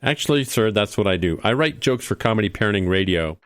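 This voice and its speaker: A man's voice: on the low side, animated, fast talker, no echo, studio quality, natural voice. A man playing an eager, bumbling middle-aged dad who hosts a family show in a comedy radio play, earnest and a bit hesitant. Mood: hesitant, polite.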